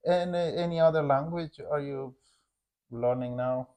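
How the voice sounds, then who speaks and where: Halting man chatting casually. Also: on the high side, a little mellow. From Afghanistan. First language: Hazaragi. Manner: halting, searching for words, frequent "like". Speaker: English teacher and channel co-host. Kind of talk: casual conversation with his wife for learners.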